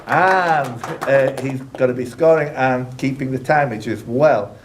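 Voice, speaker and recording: Guy quizmaster reading questions out to contestants, jokey and teasing, mid-pitched voice. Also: unhurried, background noise. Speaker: quiz host and question reader. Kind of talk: live quiz hosting, reading questions with banter.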